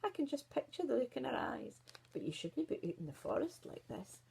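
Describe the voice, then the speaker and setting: Woman acting a sly, lively Scottish storybook wolf. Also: high-pitched, a little fast, creaky, breathy edge, voice pushed up, exaggerated voice. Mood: oily charm. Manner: ingratiating, over-polite. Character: smooth, flattering male wolf, big and sly.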